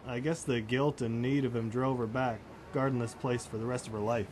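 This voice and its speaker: Casting: man as explanatory cop, medium pitch, businesslike, amateur radio play, noisy siren-filled scene. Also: steady tone, a little fast, lightly acted. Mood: matter-of-fact. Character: matter-of-fact male police officer explaining a case. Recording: loud outdoor street ambience with police sirens. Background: sirens and street ambience.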